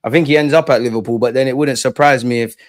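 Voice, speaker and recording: A man's voice: medium pitch, fairly even intonation, fast talker, dry recording, studio quality. A man chatting casually about football, slangy and upbeat. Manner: laid-back, slangy, upbeat. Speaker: guest commentator.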